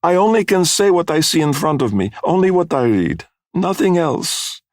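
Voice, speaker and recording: A man narrating puts on an old immigrant woman who tells fortunes. Her voice is raised well up and close-miked. She pleads and defends herself in halting, broken English. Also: on the high side, expressive, dry recording, voice pushed up, lightly acted. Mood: pleading, frightened.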